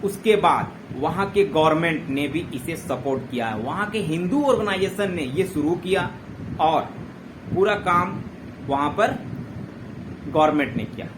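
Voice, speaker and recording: Indian male presenter, higher-pitched, unhurried, instructive informal commentary over clearly audible noise. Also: animated. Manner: didactic, frequent check-in tags, mixes in English words. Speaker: presenter explaining a current-affairs issue. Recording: clearly noisy amateur recording.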